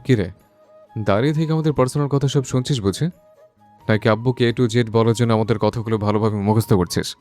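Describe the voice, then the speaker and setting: A male actor voices a young husband from a romantic audio story, his voice low and dark. He drops English into his speech as lover and husband. Music plays underneath. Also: fast, close to an everyday voice. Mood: angry, scornful. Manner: sprinkles English endearments into speech. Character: irritable young husband, tender lover to his girlfriend, scornful to wife.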